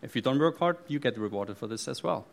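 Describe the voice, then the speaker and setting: A man's voice: medium pitch, animated, fast, vocal fry. A middle-aged man from East Germany with a German accent, speaking from the front of a church with many fillers, restarts and joking asides. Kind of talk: sermon.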